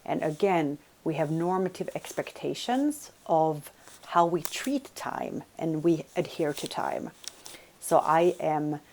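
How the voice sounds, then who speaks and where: A woman's voice: sits low, slow pace, a little mellow, a little hiss or hum. A woman from Sweden, giving a recorded college lecture and checking in with listeners by asking "right?". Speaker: business communication lecturer.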